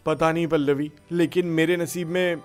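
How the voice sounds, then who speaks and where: A man voicing a heartbroken, bitter young man with melodramatic emotion in an animated story. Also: on the high side, moderate pace, soft background music, pitched above the performer's own voice, lightly acted. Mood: despairing. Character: heartbroken young man, bitter and self-pitying about love. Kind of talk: animated moral story dialogue.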